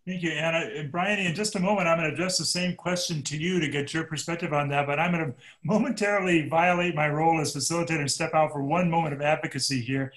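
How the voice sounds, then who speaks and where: A man moderating an alumni panel, earnest and measured, often restarting his sentences. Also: fairly high pitch, fairly bright tone, poor audio. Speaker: panel facilitator.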